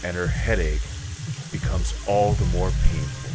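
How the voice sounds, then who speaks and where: A man reading dramatic scripted narration in a solemn, measured manner. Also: deep voice, steady tone, loud music behind the voice.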